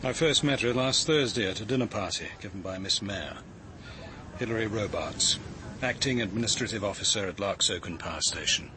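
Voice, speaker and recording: A man's voice: on the low side, expressive, a little fast, noisy background, natural voice. An actor playing a composed, thoughtful male detective in a radio drama, articulate and precise in his speech. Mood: grave, formal. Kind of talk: acted British radio drama dialogue.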